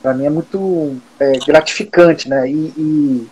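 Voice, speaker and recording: A Brazilian man in his forties, a music teacher, talking in a relaxed, thoughtful way with many fillers and restarts. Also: fairly high pitch, a little slow, crowd noise, poor audio. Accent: Brazilian Portuguese. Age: 40s. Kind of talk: casual interview talk.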